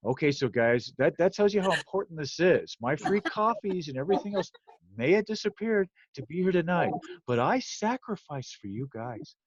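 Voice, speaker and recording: A man who does voice acting, telling casual stories in a halting, repetitive way on a group video call. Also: fairly high pitch, big pitch swings, husky, no room sound. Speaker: volunteer audio drama voice actor. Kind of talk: casual anecdote on a group live stream. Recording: dull lo-fi call audio.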